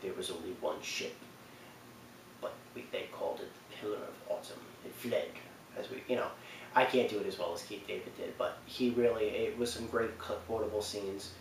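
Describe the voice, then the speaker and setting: Lowish-voiced man in an echoey room. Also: steady tone, moderate pace. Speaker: video countdown host. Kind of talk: casual unscripted commentary. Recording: echoey room, distant mic, faint noise.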